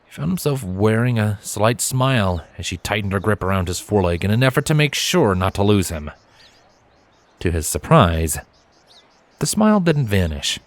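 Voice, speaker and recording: A man reading fan fiction aloud as an expressive, scripted storyteller. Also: on the low side, normal speed, no room sound.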